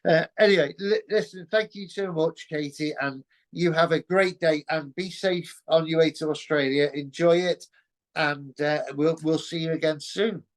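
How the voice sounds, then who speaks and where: Guy hosting a chatty interview, bit high pitched, polite and hesitant. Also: steady tone, normal speed. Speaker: host. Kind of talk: casual interview chat.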